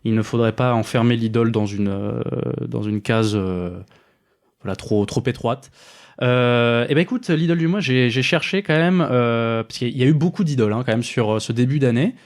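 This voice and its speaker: A man's voice: mid-range voice, moderate pace. Hesitant French man talking films. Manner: hesitant, many fillers and restarts. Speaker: podcast co-host. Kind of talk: casual film podcast chat.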